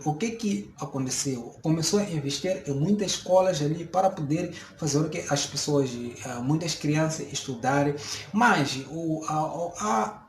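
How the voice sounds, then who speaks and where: A man's voice: a little high, darkish tone, a little hiss or hum. Hesitant Mozambican man in an echoey room. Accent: Mozambican Portuguese. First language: a Mozambican local language. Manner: hesitant, many fillers and restarts, explaining. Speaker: vlogger. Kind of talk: casual talk to camera.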